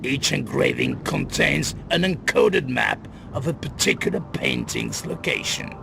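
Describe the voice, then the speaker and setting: An actor playing a grim, guarded middle-aged crime boss in a video game cut-scene, with a gravelly voice and grave, deliberate delivery. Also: male voice, medium pitch, huge pitch swings, slight background noise, exaggerated voice. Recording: loud music under the voice.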